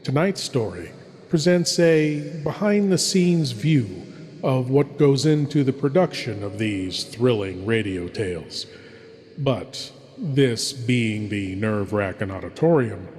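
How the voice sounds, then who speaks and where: Male horror host, measured and dramatic, slow delivery, big reverberant hall sound. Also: mid-range voice, animated. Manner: slow, deliberate, dramatic, hushed at phrase ends. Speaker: host of an old-time radio horror show. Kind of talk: theatrical horror host scripted narration.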